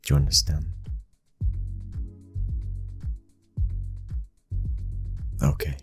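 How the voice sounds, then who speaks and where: A very deep British voice from a man acting as a young mafia boss's son, controlling yet tender. He speaks in an intimate, commanding murmur, in the style of an ASMR boyfriend roleplay. Faint music plays behind him. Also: completely flat delivery, racing. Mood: stern, protective.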